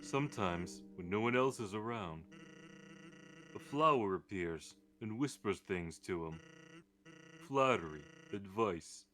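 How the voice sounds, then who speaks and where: A man voicing a laid-back, joking skeleton from a video game, with a casual, wry deadpan manner. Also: lowish voice, slow pace. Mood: pensive. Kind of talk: live character voicing of video game dialogue. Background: game music.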